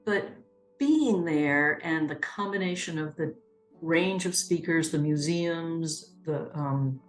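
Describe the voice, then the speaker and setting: A woman from New Hampshire sharing her experience in an animated way, laughing as she retells moments. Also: deep voice, slow pace. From New Hampshire, USA. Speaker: interviewee, churchgoer. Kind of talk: reflective testimony. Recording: roomy sound with music bed.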